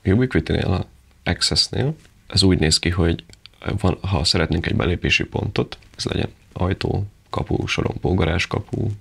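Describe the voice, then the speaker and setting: A man with a low-pitched voice presents products off the cuff. His delivery is heavy with vocal fry and quite husky, and he explains things in an informal, practical manner.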